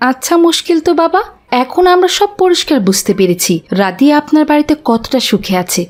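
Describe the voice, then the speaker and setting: A woman's voice: sits high, big pitch swings, fairly quick, a little mellow. A woman playing a stern aunt in a cartoon drama, accusing and resolute in defence of an orphan.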